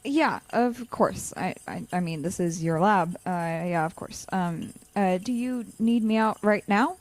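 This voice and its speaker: An actress playing an awkward, studious young chemistry student in an audio drama, hesitant and prone to stammering. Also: female voice, medium pitch, measured pace, close to an everyday voice. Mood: flustered.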